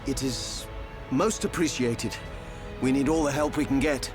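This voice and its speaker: An actor playing a dutiful soldier in an animated game, formal and urgent as if reporting to superiors. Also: male voice, mid-range voice, measured pace, over music, lightly acted.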